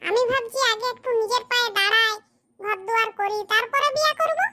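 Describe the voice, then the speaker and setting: A performer voicing a dutiful young village son in a comedy cartoon, with a shrill pitched-up voice. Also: brisk, bright, forceful tone, heavily acted. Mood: earnest.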